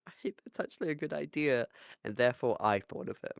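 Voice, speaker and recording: Co-host, animated pitch, laughing, swearing, teasing, dry close mic. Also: brisk, creaky, smooth, no room sound. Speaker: podcast co-host. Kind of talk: casual chat.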